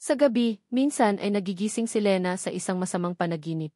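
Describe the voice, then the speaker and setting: A woman's voice: medium pitch, expressive, brisk, mellow, dry recording. Woman narrating, very dry sound. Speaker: story narrator. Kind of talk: scripted dramatic story narration.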